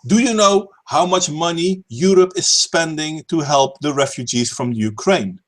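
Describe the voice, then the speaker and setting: A man's voice: fairly high pitch, measured pace, slight background noise. A man hosting a casual stream chat, talking politics emphatically, swearing and repeating words as he starts sentences. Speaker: stream host.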